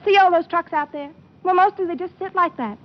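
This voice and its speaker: An actress playing a chatty, guileless young office secretary in a slangy old radio detective drama. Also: female voice, very high voice, a little fast. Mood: chatty, naive. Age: young adult. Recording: muffled old-time radio recording.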